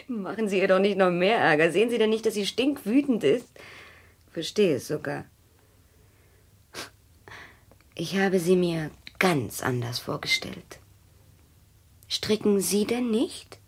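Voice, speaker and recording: A defiant, cheeky mistress in a radio drama. Her middle-pitched voice has a husky grain, and she talks bluntly and flippantly, afraid of nobody. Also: animated, measured pace. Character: cheeky, defiant, tipsy mistress of an older man. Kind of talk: German radio drama acting.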